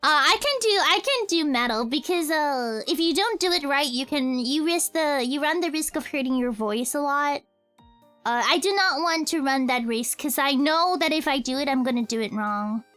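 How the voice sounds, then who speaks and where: A young woman with a very high voice chats on a livestream, rambling and giggling as she answers viewer questions. She often corrects herself mid-sentence. Soft music plays faintly behind her. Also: lively intonation, normal speed. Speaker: streamer and cover singer. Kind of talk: casual livestream Q&A chat.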